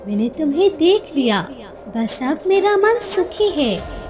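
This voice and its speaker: A woman voicing a motherly talking lioness in a cartoon story, tender, mysterious and slowly reassuring. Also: sits high, sing-song, a little mellow, faint music behind, voice pushed up.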